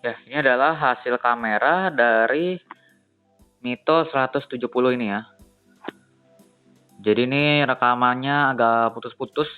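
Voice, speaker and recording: A man's voice: mid-range voice, moderate pace, pauses often, clean tone, phone-quality sound, faint music behind. A young man, casually reviewing a cheap phone on camera, thinking aloud and talking to viewers as guys.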